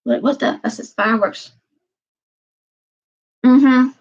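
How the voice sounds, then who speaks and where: Young woman in her twenties, higher voice, rambling live-stream chat, muffled audio. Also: steady tone, slow pace. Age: 20s. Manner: meandering, repeats herself, frequent "um".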